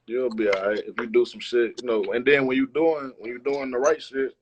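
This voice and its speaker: A man's voice: medium pitch, fairly even intonation, fairly quick, dull audio, slight background noise. A man chatting casually as an interview guest, using a lot of slang and swearing. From Chicago, United States. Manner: slangy, profane. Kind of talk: casual chat.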